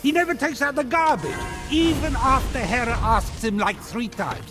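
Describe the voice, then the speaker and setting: A man's voice: very high-pitched, normal speed, over music. An actor playing a petty, vain, flippant father god who complains breezily and sarcastically in comic video game banter. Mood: griping, flippant.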